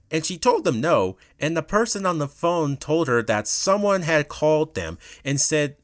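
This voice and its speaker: A man's voice: medium pitch, a bit bright, dry recording, clean studio sound. A man telling a personal story in casual storytime commentary, with informal, slangy, swear-heavy phrasing.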